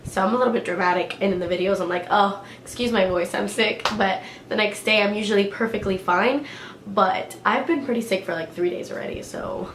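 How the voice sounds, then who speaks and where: A woman's voice: mid-range voice, a little fast, background noise. Woman, congested with a cold, chatty filler-heavy talk, echoey room, faint ambience. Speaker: beauty vlogger. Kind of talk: casual product review.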